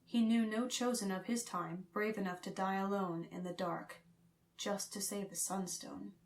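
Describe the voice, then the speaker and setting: A woman's voice: mid-range voice, steady tone, normal speed. A woman reading fiction as an audiobook narrator, in a scripted, dramatic storytelling style.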